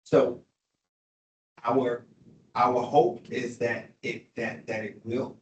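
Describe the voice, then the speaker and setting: A man giving a presentation at a public meeting, circling and restarting sentences as he rambles along. His delivery stays on an even, flat line, and the room gives it a noticeable echo. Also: mid-range voice, drawn-out words, dull audio, slight background noise. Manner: rambling, self-correcting. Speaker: transit agency presenter.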